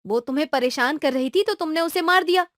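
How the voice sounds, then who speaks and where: A woman plays a cartoon mother who is firm but caring and keeps reassuring her frightened son. Her voice sits high and sounds dry and close. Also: fairly quick, a bit bright, no echo. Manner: scolding yet reassuring. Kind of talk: cartoon voice acting.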